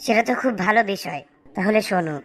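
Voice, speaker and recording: A woman's voice: mid-range voice, animated, quick pace, slight background noise, close to an everyday voice. A woman playing an earnest schoolteacher in a cartoon, explaining and advising children. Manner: instructive, explanatory. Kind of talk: didactic cartoon dialogue.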